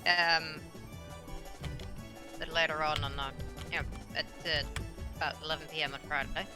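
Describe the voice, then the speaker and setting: A man in casual chat, speaking haltingly and repeating his phrases, with faint music behind him. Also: on the high side, little pitch movement.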